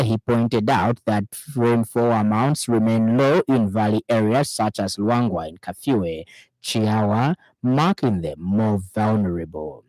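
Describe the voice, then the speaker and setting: A man's voice: fairly low pitch, a little mellow, no room sound, poor audio. A man with a Zambian accent reading scripted radio news as a presenter in a studio.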